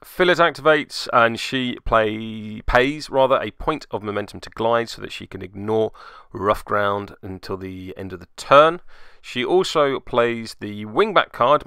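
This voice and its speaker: Bright, crisp-voiced man narrating a tabletop game. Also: fairly low pitch, dry recording, studio quality. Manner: wry, playfully mock-dramatic asides. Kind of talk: semi-scripted play-by-play narration of a miniatures game.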